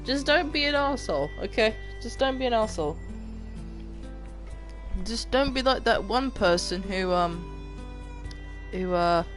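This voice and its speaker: Mumbling, monotone game streamer. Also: medium pitch, unhurried, long pauses. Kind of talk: casual chat while gaming. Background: game music.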